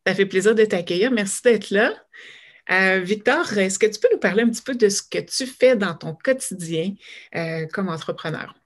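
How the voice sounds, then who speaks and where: A woman's voice: mid-range voice, moderate pace. A woman, hosting a virtual conference and speaking with frequent filler hesitations. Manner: friendly, many filler "euh"s. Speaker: host and moderator. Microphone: video call.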